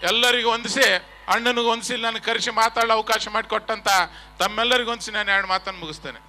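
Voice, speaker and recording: A man's voice: very high voice, steady tone, quick pace, bright, forceful tone, a little hiss or hum. A man with a North Karnataka accent giving a rousing speech from a stage, addressing fans directly and leading them in chants. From Karnataka, India. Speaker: guest speaker at a film promotion event. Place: stage at a public event.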